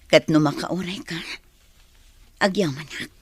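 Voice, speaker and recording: An actress playing a guarded, torn young woman in a radio drama, speaking softly. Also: deep voice, measured pace, breathy edge, full character voice.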